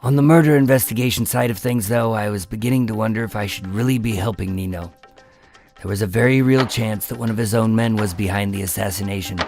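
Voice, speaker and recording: An actor playing a measured, dogged undercover federal agent in a radio drama, with an understated, gravelly delivery. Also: male voice, fairly low pitch, darkish tone. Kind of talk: radio drama acting and narration. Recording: music behind the voice.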